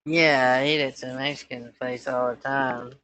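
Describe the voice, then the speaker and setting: A man's voice: medium pitch, flat delivery, normal speed, a loud crowd. A man in his twenties, a scambaiter posing as a son, chatting casually in a hesitant, rambling way. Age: 20s. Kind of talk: casual phone chat.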